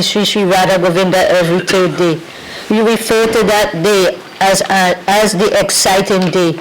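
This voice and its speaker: A woman with a Trinidadian accent reading aloud a devotional tribute letter to her teacher, earnest and prayerful, with small halting restarts. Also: mid-range voice, slight background noise, low-quality recording. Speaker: disciple reading a homage.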